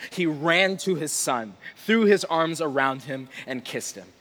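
Male preacher, bright forceful voice, informal and playful delivery, big echoing room. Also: a little high, expressive, measured pace. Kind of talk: sermon with casual humour and scripture reading. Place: large reverberant room before a live audience. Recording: long hall reverb.

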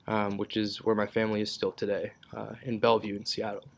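A man's voice: fairly low pitch, completely flat delivery, fast, vocal fry, slight background noise. A young man from Israel whose first language is Hebrew, a podcast guest chatting casually and rambling with lots of fillers and restarts. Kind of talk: casual interview chat.

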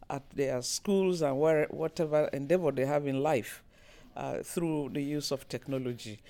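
A woman's voice: deep voice, vocal fry, warm tone. A woman from Nigeria, introducing herself in an interview with frequent hesitations and fillers. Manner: hesitant, many fillers and restarts. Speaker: interviewee, managing director.

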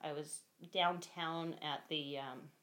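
A feminine-voiced guest talking candidly in a casual interview, chatty and quick to laugh. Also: female voice, low voice, fairly even intonation, a bit bright. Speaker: guest, costumed performer.

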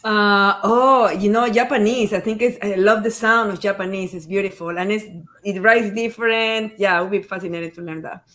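A woman's voice: mid-range voice, steady tone, normal speed, hardly any pauses, fairly bright tone. Female co-host chatting casually. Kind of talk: casual chat.

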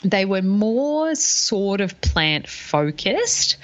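A woman's voice: medium pitch, sing-song, very slow. A woman co-hosting a podcast, explaining things in a casual, conversational style on slightly lo-fi audio. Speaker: podcast co-host.

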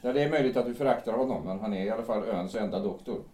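An actor playing a calm, concerned friend who speaks in a soothing but firm way in a radio drama. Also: male voice, lowish voice, natural voice. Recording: echoey room.

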